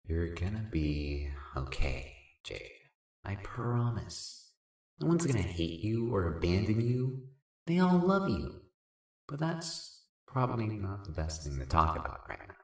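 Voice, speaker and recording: A low-pitched, cool and supportive sprite friend, played by a man, with very lively swings in pitch. The talk is slangy and casual, in a fan-made audio drama with a roomy echo. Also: measured pace. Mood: reassuring. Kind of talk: amateur fan-fiction audio drama acting. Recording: roomy, echoing voice track.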